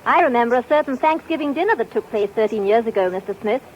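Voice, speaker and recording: A high, lively woman's voice, genteel and persuasive, reading an old-time radio commercial. Her pitch moves a lot. It sounds dull and faintly hissy, like a 1940s broadcast. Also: quick pace. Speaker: commercial spokeswoman.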